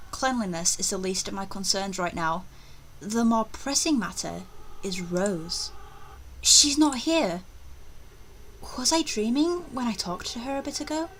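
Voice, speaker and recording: Woman acting an anxious, superstitious estate agent, bright-voiced. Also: on the high side, a little hiss or hum, exaggerated voice, low-quality recording. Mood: puzzled, worried. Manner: dramatic, uneasy inner monologue. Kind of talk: visual novel voice-over reading.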